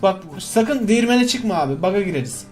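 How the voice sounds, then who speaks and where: A man's voice: high-pitched, animated, fairly quick, soft background music. A man giving casual, slangy co-op gameplay commentary to a friend, full of exclamations and repeated words. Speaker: gaming video host.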